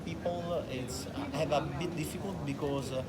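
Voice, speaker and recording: A man from Italy with an Italian accent, a language student answering interview questions haltingly with many fillers and restarts. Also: on the high side, fairly even intonation, over a noisy crowd, low-quality recording. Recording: loud street and traffic noise.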